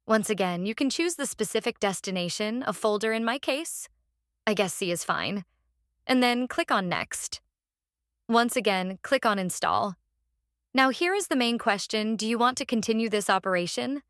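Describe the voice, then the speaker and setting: A woman who speaks English as a second language hosts a step-by-step software tutorial in a halting, repetitive instructional way. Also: a little high, lively intonation, smooth, no echo, studio quality. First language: non-native English speaker. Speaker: tutorial host.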